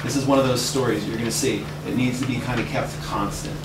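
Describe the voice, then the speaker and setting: A man leading a group, giving informal, directive instructions and repeating himself to be clear. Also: medium pitch, fairly even intonation, fast talker. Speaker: reading group leader, teacher. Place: indoor room with a group. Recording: echoey room, far mic, noisy hum.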